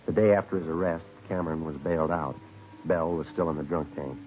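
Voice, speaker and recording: A low-voiced, no-nonsense American detective reports his case in clipped, factual phrases. The sound is thin, vintage radio drama with faint music behind it. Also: brisk, narrow, tinny audio. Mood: deadpan, factual. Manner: clipped, deadpan, matter-of-fact. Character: terse, businesslike male police sergeant narrating his case. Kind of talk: 1950s radio crime drama acting and narration.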